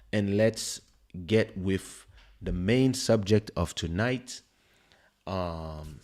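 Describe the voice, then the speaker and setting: A man with a French accent, a native French speaker, hosting a livestream listening party in relaxed, rambling casual chat with many fillers. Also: sits low. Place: home streaming setup. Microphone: studio desk microphone.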